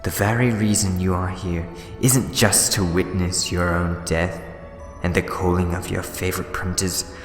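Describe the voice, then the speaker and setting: A man's voice: low-pitched, steady tone, normal speed, natural voice. A man playing a scheming, mischievous and theatrical villain in a sci-fi fan audio drama, mocking in manner. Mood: menacing, gloating. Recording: echoey reverberant hall, music underneath.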